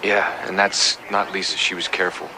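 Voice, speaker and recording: A man playing a subdued, grieving fiancé in his late twenties, speaking haltingly in a crime audio drama. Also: sits low, flat delivery, background noise, natural voice. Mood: subdued, hesitant. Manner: halting, sighing.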